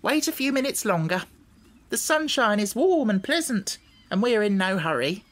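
A woman voicing a brisk, self-assured talking hen in a storybook, with a matter-of-fact, argumentative manner. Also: mid-range voice, very animated, voice pushed up. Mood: chatty, contented. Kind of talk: storybook character voice.

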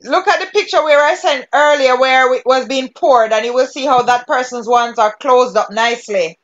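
A woman's voice: high voice, moderate pace, fairly bright tone, lo-fi sound. A woman with a Jamaican accent teaching a cooking class over a video call, giving step-by-step directions and laughing easily. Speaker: cooking instructor.

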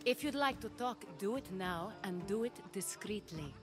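An actress playing a shrewd noblewoman of ancient Athens in a game, measured and discreet in her delivery. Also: medium pitch, expressive, lightly acted. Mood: knowing. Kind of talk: video game cutscene acting. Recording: music under the voice.

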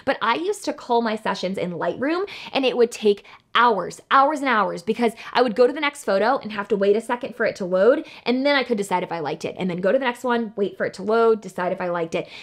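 A young woman talking as a photography educator, explaining her workflow in an upbeat, chatty way from a bright home studio. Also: medium pitch. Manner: upbeat, chatty, fast with fillers like "like" and "um". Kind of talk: casual tutorial talk to camera.

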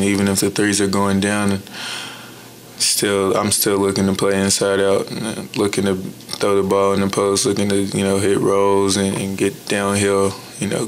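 Young male athlete, low voice, monotone, blunt and measured at a press table mic. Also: creaky, slight background noise. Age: young adult. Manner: measured, even, blunt, frequent "you know". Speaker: college basketball player. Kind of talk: postgame press conference answers. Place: indoor press room. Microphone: table mic in front.

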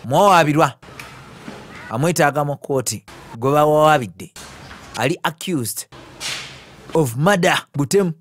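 Man, Ugandan accent, film voice-over translator, colloquial, slightly high voice, noisy background. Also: animated, unhurried, pauses often. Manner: colloquial, acts out the characters' lines. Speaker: film narrator and live translator (VJ).

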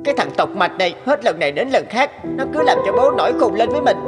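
A woman's voice: fairly high pitch, sing-song, quick pace, long reverb tail, over loud music. A woman voicing a petulant, resentful schoolboy in a cartoon, with a boyish manner. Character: sulky, resentful schoolboy older brother. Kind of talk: Vietnamese animated moral tale dubbing.

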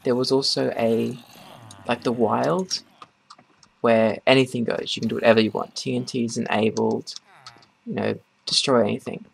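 Creaky-voiced man rambling over gameplay. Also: medium pitch, little pitch movement. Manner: rambling, halting delivery. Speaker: gaming video host. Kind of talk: casual gameplay commentary. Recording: noisy, keyboard and mouse clicks.

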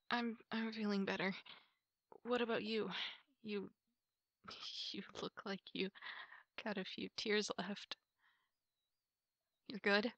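A woman playing an apologetic, emotional girlfriend in an intimate ASMR roleplay, speaking softly and haltingly. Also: mid-range voice, dry recording, highs cut off, heavily acted. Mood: tender, calmer. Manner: halting, soft, breathy delivery with pauses. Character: apologetic, tearful girlfriend pleading to make up. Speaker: roleplay audio performer.

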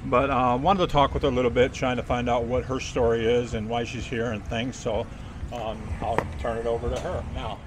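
An older man hosts a casual travel vlog and chats in a rambling, folksy manner. His voice sits in an ordinary middle range. Clear street noise surrounds him as he holds the camera close. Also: medium pitch, little pitch movement, brisk. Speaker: vlog host, interviewer. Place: outdoors on a town street.

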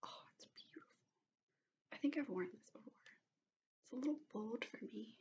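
A woman in her twenties doing gentle, soothing ASMR in a soft, breathy whisper. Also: a little high, expressive, normal speed. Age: 20s. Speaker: ASMR host. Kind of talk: whispered ASMR personal-attention roleplay. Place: small bedroom, indoors. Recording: dull lo-fi webcam-style sound.

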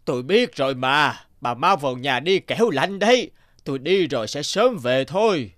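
A man's voice: on the high side, expressive, moderate pace, dry recording, clean studio sound. A man playing a cheerful, stubborn old village husband near seventy in a cartoon folktale, with a hearty, reassuring manner. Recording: loud guitar music underneath.

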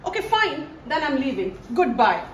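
A woman's voice: high-pitched, big pitch swings, moderate pace. A woman playing a greedy, scheming middle-aged stepmother in an amateur comedy, theatrical and complaining. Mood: exasperated. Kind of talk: amateur comedy stage-style acting. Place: indoor home living room. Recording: echoey room with faint noise.

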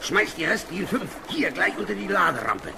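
Gravelly, bossy smuggler boss. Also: male voice, sits high, very animated, brisk, exaggerated voice. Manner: terse, bossy. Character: bossy male smuggler gang leader, gruff, cool-headed. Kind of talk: German radio play acting. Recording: old radio play, faint background noise.

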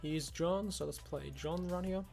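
A man giving a casual, rambling software review, often saying "you know" and "like". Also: on the high side, measured pace, creaky. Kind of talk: casual screen-recorded review. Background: background music.

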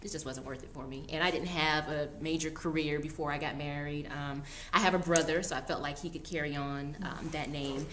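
A woman's voice: low voice, steady tone, fairly quick, hardly any pauses, a bit bright, a little hiss or hum. A woman in her thirties chatting as a guest, easygoing and matter-of-fact. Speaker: guest mom. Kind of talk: casual chat.

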